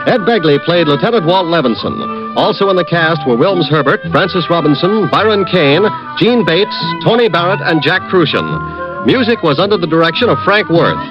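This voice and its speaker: Formal 1950s radio announcer, male, animated and high, over music on dull vintage audio. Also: normal speed. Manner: polished formal delivery.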